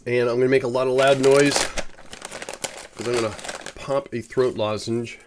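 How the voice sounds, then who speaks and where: A man's voice: medium pitch, fairly even intonation, measured pace, background noise. A man with a head cold giving rambling, casual commentary while live-streaming a space game, often correcting himself.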